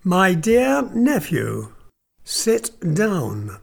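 Male narrator voicing a sneering elderly lord; high, slow, wide-ranging delivery. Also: huge pitch swings, vocal fry, full character voice. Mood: sly. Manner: leering, drawling, sudden harsh bursts. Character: sly mocking old nobleman, uncle, lame.

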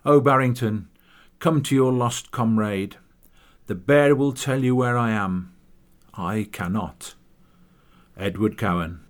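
A man doing a dignified, gentle disgraced captain. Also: medium pitch, takes it slowly, pauses often, natural voice. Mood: solemn. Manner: dignified, courteous. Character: grand, gentle disgraced male army captain.

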